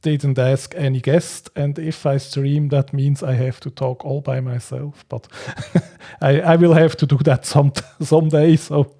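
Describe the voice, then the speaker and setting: A man hosting a casual livestream chat, speaking hesitantly and haltingly with frequent chuckles. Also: fairly high pitch, steady tone, gravelly, mellow. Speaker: livestream host.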